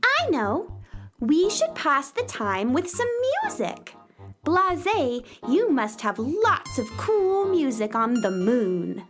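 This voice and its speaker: A woman's voice: sits high, huge pitch swings, slow pace, fairly bright tone, faint music behind, exaggerated voice. A woman playing a sweet, eager, childlike space adventurer in a children's radio play, earnest and polite.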